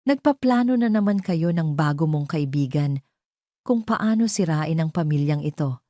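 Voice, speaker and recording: A woman's voice: low voice, animated, moderate pace, dark tone, no room sound, studio quality. A woman voicing a spiteful, desperate pregnant mistress in an audio drama, with a taunting, sneering manner. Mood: venomous taunting.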